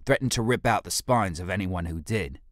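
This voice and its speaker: A man's voice: low-pitched, animated, a little fast, dry recording, studio quality. A man voicing a scruffy male ape watchman in an audiobook, servile yet cheeky and rustic in manner. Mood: grudging, servile.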